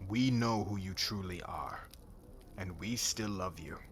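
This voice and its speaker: A man playing a stern, regal young warrior king who speaks in a formal, measured, commanding and brotherly way in an audio roleplay. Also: deep voice, slight background noise. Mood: firm, affectionate. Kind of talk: ASMR audio roleplay drama.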